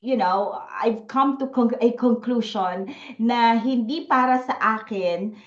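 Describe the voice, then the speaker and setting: A woman's voice: a little high, measured pace. A woman in her forties from the Philippines hosts an online selling workshop, mixing Tagalog and English and checking in with "okay?" over and over. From Philippines, Bicol. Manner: chatty, mixes Tagalog and English, frequent "okay?" check-ins. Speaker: Amazon selling workshop host. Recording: echoey room, slightly muffled audio.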